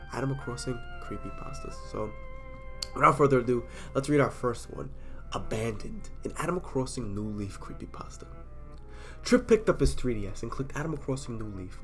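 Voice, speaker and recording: Stuffy-voiced man reading horror stories. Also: mid-range voice. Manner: chatty, self-deprecating, stumbles on words. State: stuffy from a cold. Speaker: storyteller.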